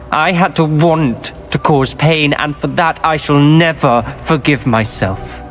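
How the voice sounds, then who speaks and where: A man's voice: medium pitch, close to an everyday voice. An actor playing a grim, guilt-ridden young male wizard student in a video game, speaking. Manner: somber, weary and bitter. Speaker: game character.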